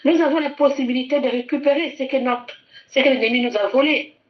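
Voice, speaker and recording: A woman preaches with fervour, circling back over her phrases and urging the congregation to repeat after her. Her voice sits on the higher side. The recording sounds muffled and lo-fi. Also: brisk. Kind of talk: sermon.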